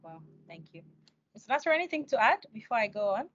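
A woman's voice: on the high side, sing-song, fast, fairly bright tone. A woman in her thirties with a Nigerian accent, hosting an interview in a respectful, personal, conversational way. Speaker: interview host. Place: indoors, on a video call. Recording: dull, dry video call audio.

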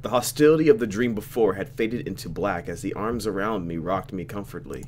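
A man's voice: on the low side, fairly quick, slight background noise. A young man doing a let's play, reading a visual novel aloud with playful, reactive commentary.

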